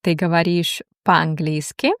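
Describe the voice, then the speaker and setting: A woman teaching a language, reading slow, deliberate question-and-answer drills with long pauses. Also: fairly low pitch, little pitch movement, no room sound, studio quality.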